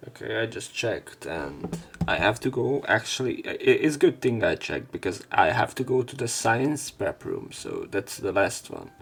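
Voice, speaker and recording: Low-voiced man narrating a visual novel over music. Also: gravelly. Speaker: let's play host reading aloud and commenting. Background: game music.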